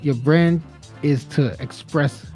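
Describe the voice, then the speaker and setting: A man hosting a radio talk show, interviewing a guest casually with lots of quick "gotcha"s and "right"s. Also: a little high, dark tone, soft background music. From New York. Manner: chatty, quick backchannels. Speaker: radio host. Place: radio studio.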